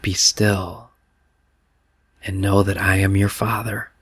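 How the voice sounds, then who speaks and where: Guy doing god the father, tender loving spirit, slow soft repeated reassurances, low dry voice. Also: level tone, husky, no echo, heavily acted. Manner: gentle, repetitive affirmations.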